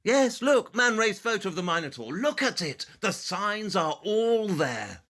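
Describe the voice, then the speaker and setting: A man's voice: high voice, big pitch swings, unhurried, bright, forceful tone, full character voice. An actor playing an obsessed, brusque male detective in an audio play, imperious, clipped and insistent. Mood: eager, impatient.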